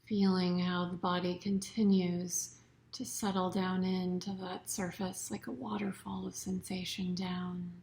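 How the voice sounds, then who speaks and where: A woman teaching a guided yoga nidra meditation in a soothing, unhurried way, her phrases often trailing off into soft breath. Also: lowish voice, flat delivery.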